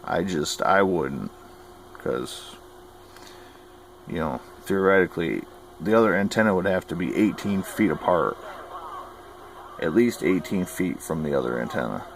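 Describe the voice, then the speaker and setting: A man with a low voice talks through practical tips in a folksy, opinionated way. He keeps it informal and plain-spoken, like a hobbyist teaching what he knows. There is clear background noise on the recording. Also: animated, a little slow, long pauses, poor audio. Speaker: hobbyist giving CB radio advice. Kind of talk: informal instructional talk.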